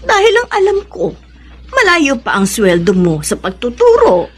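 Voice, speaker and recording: Devout, scolding old Filipino mother for radio drama, rough-edged, animated intonation. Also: sits high, normal speed, full character voice. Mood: puzzled, suspicious. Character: older mother, devout, questioning, gently scolding.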